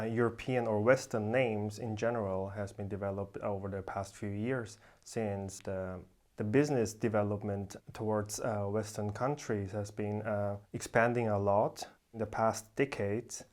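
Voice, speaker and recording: A man's voice: fairly low pitch, fairly even intonation, unhurried, a little mellow. A man from South Korea who grew up partly in Austria, explaining things as a podcast guest. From South Korea, moved to Austria at ten. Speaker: podcast guest, corporate lawyer. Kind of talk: explanatory interview talk.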